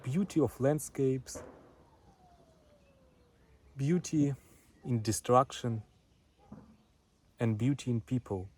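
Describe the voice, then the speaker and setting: A man with a Ukrainian accent giving a deliberate spoken address to a poetry audience in short, separated phrases. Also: medium pitch, a little mellow, a little hiss or hum. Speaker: poet and front-line witness sending a message.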